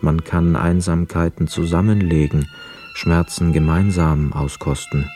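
An actor playing a brooding, hesitant ex-prisoner of war, telling his story in a measured, introspective way in a radio drama. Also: a bass voice, mellow. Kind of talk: literary radio drama narration and dialogue. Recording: close dry studio, music behind. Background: music.

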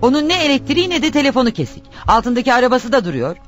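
An actress playing a nagging, reproachful wife in radio theatre, scolding and insistent with a sarcastic edge. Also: female voice, high-pitched, big pitch swings, racing, soft background music. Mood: indignant. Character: nagging, reproachful wife pushing her jobless husband.

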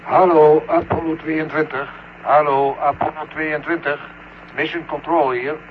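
An actor playing a businesslike mission control official over a radio link in a 1970 science-fiction radio drama, brisk and formal. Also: male voice, a little high, steady tone, highs cut off. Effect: radio link filter. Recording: thin, noisy radio transmission.

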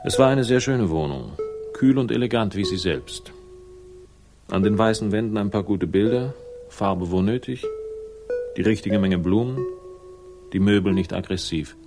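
A man's voice: lowish voice, normal speed, pauses often, lightly acted. An actor playing a laconic, ironic investigator who narrates his case in a 1960s German crime radio drama. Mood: dry, wry. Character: wry, hard-boiled male investigator narrating his case. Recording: music behind the voice.

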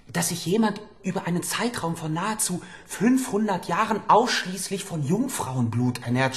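A young gentleman student speaks in a fairly high male voice. He is sceptical and hesitant, with wordy, courteous radio drama delivery. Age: young adult. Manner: hesitant, wordy, politely formal.

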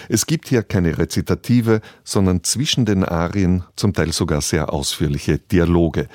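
A man presenting a radio programme in measured, formal scripted narration. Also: low voice, darkish tone, no room sound, studio quality.

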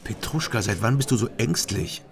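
Gravelly, wry male private detective. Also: lowish voice, lively intonation, normal speed. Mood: calm, low-key. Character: wry East Berlin private detective, ex-policeman. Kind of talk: German radio crime drama, narration and dialogue.

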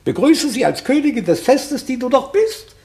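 A man's voice: very high voice, big pitch swings, moderate pace, mellow, full character voice. An actor playing a fussy, hearty old shepherd father in a classical German radio drama. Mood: hearty, urging.

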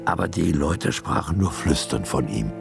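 Very deep, creaky male narrator. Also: expressive, over music. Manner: measured storytelling. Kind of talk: scripted radio drama narration.

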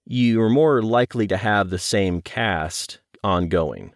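A man's voice: on the low side, slow pace, no echo, studio quality. A man talking conversationally as an interview guest, thinking aloud and circling back to rephrase his points. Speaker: guest, audio drama producer.

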